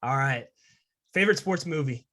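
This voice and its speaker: Young male student interviewer, medium-pitched voice, casual podcast hosting. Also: lively intonation, moderate pace, sharp tone. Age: young adult.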